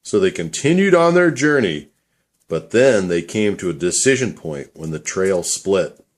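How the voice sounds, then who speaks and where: Low-voiced male storyteller with swooping intonation. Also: sing-song, measured pace. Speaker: narrator. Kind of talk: scripted storybook narration for a puppet show.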